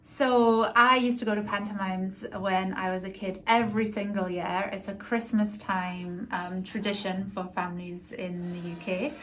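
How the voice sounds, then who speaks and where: Woman with fairly even pitch. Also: normal speed, hardly any pauses, rough recording. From north-east England. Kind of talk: casual interview reminiscence.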